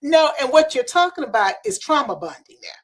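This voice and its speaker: A woman's voice: sits high, sing-song, a little fast. A woman hosting a podcast, chatty and storytelling, with playful asides. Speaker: podcast host. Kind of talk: casual podcast chat. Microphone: remote video call.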